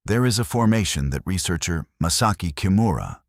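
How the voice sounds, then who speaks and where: A man reading a scripted sleep meditation narration in a solemn, unhurried, soothing way. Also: sits low, animated, no room sound, clean studio sound.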